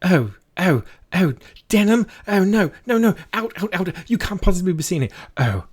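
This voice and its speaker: A man's voice: high-pitched, big pitch swings, moderate pace, husky, voice pushed up, heavily acted. A man playing a gushing, swooning woman art dealer. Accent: Australian. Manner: theatrical, swooning, overacted.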